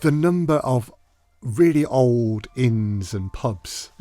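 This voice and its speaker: A man's voice: mid-range voice, expressive, takes it slowly, dark tone, dry recording. A man from Leicester with an English accent, hosting a casual podcast interview in a musing, conversational way. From Leicester, England. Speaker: podcast host.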